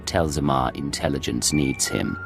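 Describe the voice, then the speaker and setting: An actor playing a composed, guarded male spy in a video game, with gravelly, clipped, deadpan delivery. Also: extremely low pitch, moderate pace, music in the background. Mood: guarded, businesslike. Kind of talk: video game cutscene acting.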